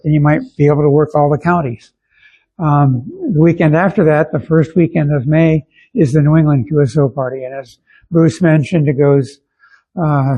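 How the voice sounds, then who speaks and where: An older man giving an informal club talk, explaining things in a halting, unhurried way. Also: on the high side, muffled recording. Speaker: amateur radio club guest speaker.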